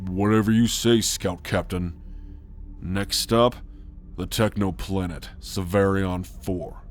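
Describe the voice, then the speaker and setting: A man's voice: low-pitched, steady tone, slow pace, slight background noise. A man acting a cautious, grumbling alien helmsman in a sci-fi ASMR roleplay, wary and grudgingly obedient. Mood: dutiful.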